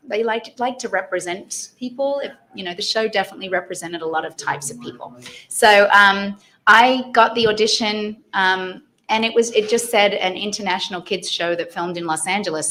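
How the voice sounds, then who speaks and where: An Australian woman talks in a loose, chatty way, with plenty of fillers and easy laughter. Her voice sits at an ordinary middle pitch. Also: sharp tone, poor audio. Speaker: actor guest at a fan convention panel. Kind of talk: casual panel chat.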